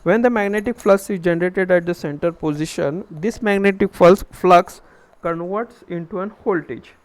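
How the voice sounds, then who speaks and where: A man's voice: high voice, moderate pace, clean tone. A man with an Indian accent giving a live online engineering lecture, posing questions to himself and repeating phrases as he explains.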